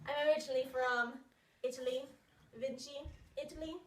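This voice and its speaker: A woman playing a boastful male Renaissance inventor who talks in a halting way. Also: high voice, steady tone, reverberant, distant mic, a little hiss or hum, close to an everyday voice. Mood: bragging. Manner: bragging, halting.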